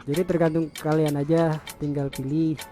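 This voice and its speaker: A high-pitched man talks casually into a helmet intercom headset. He explains things like a motovlogger reviewing gear, with faint music behind him. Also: flat delivery, moderate pace, mellow. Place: outdoors, riding a motorbike on the road.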